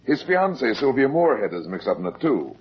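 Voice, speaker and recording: An actor playing a shrewd, steady private detective, terse and insistent, in a 1950s radio drama. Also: male voice, medium pitch, brisk, husky. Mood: calm, insistent. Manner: terse, hard-boiled, insistent. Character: shrewd, steady male private detective protecting his clients. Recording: dull, muffled vintage 1950s radio recording.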